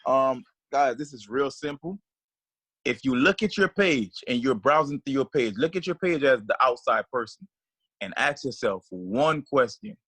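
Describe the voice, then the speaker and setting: A man's voice: medium pitch, animated, normal speed, a bit bright, husky. A man in a casual training session, giving punchy, direct advice. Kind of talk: casual group training talk.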